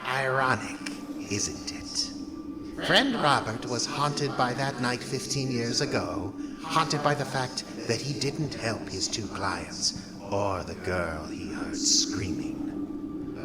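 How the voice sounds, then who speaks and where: An actor playing a brooding horror host, narrating an audio drama in a wry, ominous, theatrical manner. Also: male voice, medium pitch. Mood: wry foreboding.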